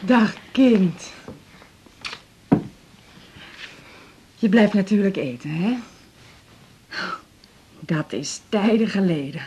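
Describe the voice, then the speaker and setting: Fussy anxious dutch mum, middle-aged woman, really husky breathy voice, radio drama. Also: medium pitch, lively intonation, takes it slowly, dark tone, heavily acted. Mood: affectionate, fussing. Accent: Netherlands Dutch. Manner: fretful, hesitant, rambling. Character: fussing, anxious, affectionate middle-aged mother.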